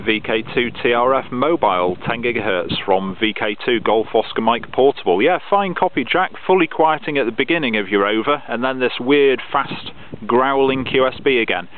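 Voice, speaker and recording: A man's voice: mid-range voice, normal speed. A male amateur radio operator chatting over a contact outdoors, reading out call signs in a procedural way. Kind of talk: ham radio contact chat. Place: outdoors on open grassy hilltop. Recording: narrow phone-like sound with radio noise.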